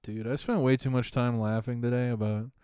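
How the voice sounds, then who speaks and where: Man, mid-pitched, dry close sound, joking banter storyteller. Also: little pitch movement, fairly quick, warm tone, clean tone, no echo. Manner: joking storyteller, laughs often. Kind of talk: casual chat.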